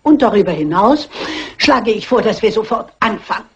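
An actress playing a stubborn, shrewd elderly lady sleuth in a dubbed crime film, husky and briskly dignified. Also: mid-range voice, lively intonation, a little mellow, slight background noise. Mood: brisk, determined. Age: older. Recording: dull old film soundtrack.

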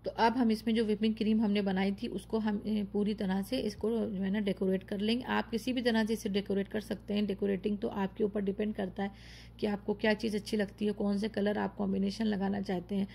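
A woman's voice: mid-range voice, flat delivery, fairly quick, gravelly, dark tone, soft background music. A woman narrating her home cooking tutorial, chatty and full of praise for the dish, giving viewers step-by-step tips. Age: adult, mother of children. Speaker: home cook and channel host.

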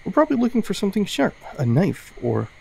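A man's voice: sits high, huge pitch swings, fast, gravelly, a little mellow, husky, voice pushed up, full character voice, low-quality recording. A man reading an eager, curious young investigator's lines from a mystery visual novel, upbeat and inquisitive.

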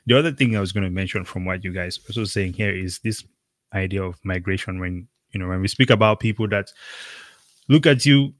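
Low-voiced talkative man on a video call. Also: brisk, dry recording. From Cameroon. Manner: rambling, emphatic, many fillers. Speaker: podcast host. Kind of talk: casual conversational interview.

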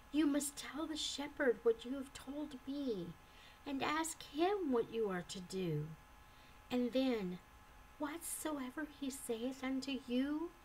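Woman performing a kind storybook comforter, female, earnest, gentle, unhurried, faint noise behind. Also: sits high, poor audio.

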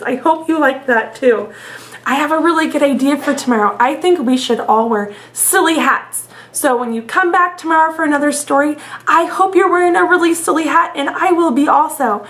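A woman's voice: high-pitched, normal speed, a bit bright. A woman in her thirties, a teacher expressively reading a story aloud to young children in a room at home. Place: small room at home, indoors.

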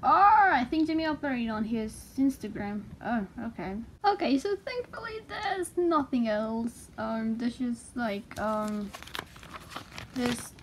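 High-voiced young woman, halting. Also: slight background noise, poor audio. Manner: excitable, foul-mouthed, halting as she translates. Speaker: vlogger. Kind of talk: casual reading aloud and commenting on a magazine. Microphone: handheld phone filming the page.

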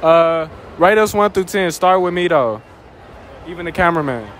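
A man's voice: a little high, animated, moderate pace, fairly bright tone, slight background noise. Young man interviewing in a mall. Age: young adult. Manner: easygoing, repeats answers back as questions, slangy. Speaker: street interviewer. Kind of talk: casual public interview. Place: indoor shopping mall. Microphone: handheld mic.

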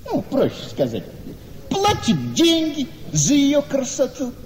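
An actor playing a cynical, mocking gentleman in a radio play, with a deliberate, sardonic, theatrical delivery. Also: extremely high pitch, wildly swooping pitch, exaggerated voice. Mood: mocking, worldly. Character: cynical, mocking gentleman needling a friend's young wife. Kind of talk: Russian classic radio play acting. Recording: reverberant hall-like studio, faint noise.

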